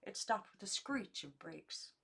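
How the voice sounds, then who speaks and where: A woman's voice: medium pitch, animated, a little slow, vocal fry, fairly bright tone, breathy edge. Woman reading a memoir aloud expressively. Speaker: amateur audiobook reader. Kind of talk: expressive scripted narration of a memoir.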